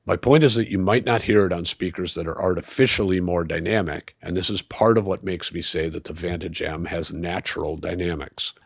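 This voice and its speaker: A man delivering a scripted review in a measured, analytical, explanatory way. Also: low voice, creaky, breathy edge, no echo, studio quality. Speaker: audio equipment reviewer.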